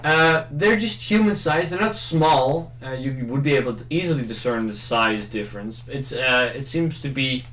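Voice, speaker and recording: Man, game master, improvised narration, tabletop roleplaying session, mid-pitched voice, roomy echo. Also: moderate pace, hardly any pauses, fairly bright tone. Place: indoor room, group game livestream. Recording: roomy, echoing room sound.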